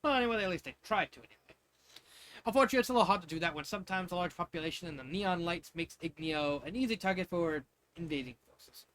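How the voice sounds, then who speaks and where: A man's voice: high-pitched, fast, fairly bright tone. A man narrating fan fiction in an informal, amateur way, stumbling over words with casual asides.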